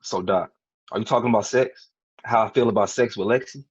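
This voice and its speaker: A man's voice: fairly low pitch, a little fast, breathy edge, dull audio. A man playing a defensive, frustrated young husband in a dramatic table read, arguing in a colloquial way. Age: young adult. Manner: argumentative, colloquial.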